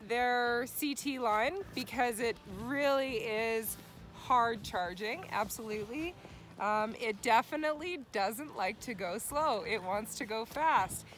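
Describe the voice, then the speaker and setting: A woman's voice: high-pitched, takes it slowly, a bit bright, soft background music. A woman giving an upbeat ski review outdoors on a snowy mountain. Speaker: ski tester. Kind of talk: casual gear review.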